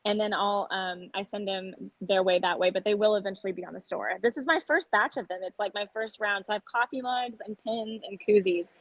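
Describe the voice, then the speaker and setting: A woman's voice: medium pitch, steady tone, fairly quick, few pauses, no echo, rough recording. Earnest young woman from Texas. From San Antonio, Texas. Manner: open, earnest, talkative. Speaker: country singer-songwriter guest. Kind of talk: casual interview chat. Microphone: podcast microphone.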